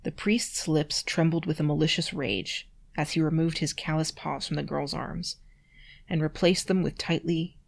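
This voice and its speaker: A mid-pitched reader, sex unclear, reading prose aloud and adding incredulous, nitpicking, giggly asides. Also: fairly even intonation, moderate pace, creaky. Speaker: amateur reader doing a read-aloud with commentary.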